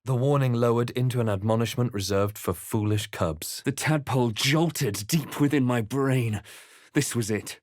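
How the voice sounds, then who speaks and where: A man voicing a grave, watchful fantasy hero in a video game, with measured, dramatic delivery. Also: mid-range voice, darkish tone, no room sound, clean studio sound, close to an everyday voice. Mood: grave, narrating. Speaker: video game player character voice actor. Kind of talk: scripted game narration and character lines.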